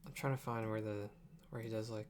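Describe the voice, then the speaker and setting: A man's voice: fairly low pitch, fairly even intonation, a little fast. A young man thinking aloud in a casual live stream as he works at his computer, distracted and prone to swearing. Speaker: video editor streaming live. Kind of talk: casual live-stream muttering while working. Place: indoor room at a computer desk. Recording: clicks and keyboard noise. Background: mouse clicks, keyboard typing.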